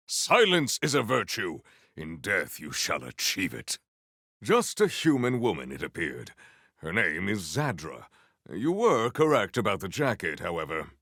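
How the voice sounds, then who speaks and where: A proud, battle-hungry game warrior with a low, gravelly voice. He speaks in formal, ceremonious phrases, grave and stern, at a slow and weighty pace. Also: pushed and bright, no echo, studio quality, heavily acted. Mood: grave, composed. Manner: formal, measured, ceremonious diction, few contractions. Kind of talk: video game character voice lines.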